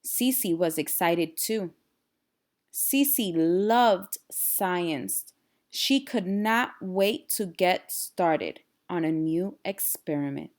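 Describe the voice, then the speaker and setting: A woman's voice: lowish voice, lively intonation, drawn-out words, a little mellow. A woman reading a children's storybook aloud expressively, with explanatory asides to young listeners. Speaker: read-aloud storyteller and teacher.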